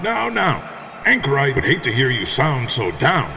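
A man's voice: medium pitch, wildly swooping pitch, vocal fry, sharp tone, a little hiss or hum, heavily acted. A man playing a flamboyant, bombastic ring announcer in an audio drama, drawing out his words like a showman. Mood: showy, hyped. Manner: bombastic, drawn-out showman delivery. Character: flamboyant male arena ring announcer at a deathmatch.

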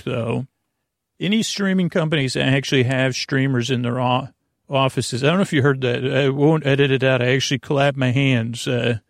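Male bedtime podcast host: creaky fry, mid pitch, gentle halting rambles, dry close sound. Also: dry recording. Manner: meandering, tangential, halting; soothing, gentle.

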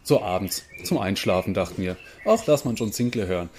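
A man's voice: fairly low pitch, animated, brisk, a little mellow, slight background noise. A man, a stage actor, talking casually as a guest in an interview.

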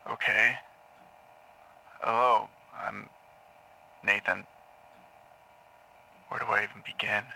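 Guy acting nervous troubled man, halting n apologetic, lowish creaky voice, audio drama. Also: level tone, pushed and bright, slight background noise, full character voice, poor audio. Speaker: actor playing a therapy patient.

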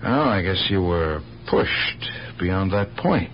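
An actor playing a dogged, methodical police lieutenant in a radio mystery, with probing, pointed phrasing. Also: male voice, low voice, animated, slow. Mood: coolly pressing. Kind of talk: radio mystery drama acting. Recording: dull, noisy old radio broadcast.

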